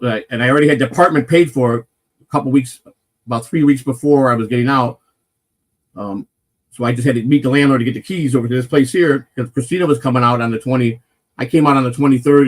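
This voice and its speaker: A man with a naturally loud voice, hosting a casual morning live stream and rambling through a personal story with lots of swearing and restarts. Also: medium pitch. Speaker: morning show host telling a personal story.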